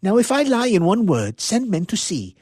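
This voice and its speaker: A man's voice: high voice, wildly swooping pitch, normal speed, a little mellow, slightly rough, dry recording, clean studio sound, pitched above the performer's own voice, exaggerated voice. A man voicing an exhausted, awestruck young elephant-driver boy in a storybook reading, crying out earnestly and wearily.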